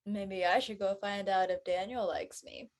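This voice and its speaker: A woman playing a chatty, curious high school girl in a casual script reading. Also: steady tone, moderate pace, natural voice.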